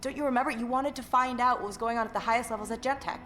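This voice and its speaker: An actress playing a driven, anxious young investigator in a video game, blunt, sweary and a little hesitant. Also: female voice, a little high, fairly even intonation, very fast, fairly bright tone, faint music behind, lightly acted. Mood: agitated. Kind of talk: video game cutscene acting.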